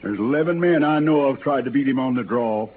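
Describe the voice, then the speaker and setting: An actor playing a hard, vengeful sheriff in a 1950s Western radio drama, gruff and scornful. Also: male voice, medium pitch, fairly quick, lightly acted. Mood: scornful, stern. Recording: scratchy, noisy, narrow old radio recording.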